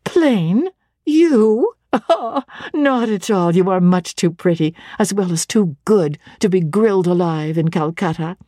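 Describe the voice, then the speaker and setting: A woman voicing a kind, affectionate young woman who gently teases her friend. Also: lowish voice, lively intonation, a little slow, no echo, studio quality. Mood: fond, incredulous.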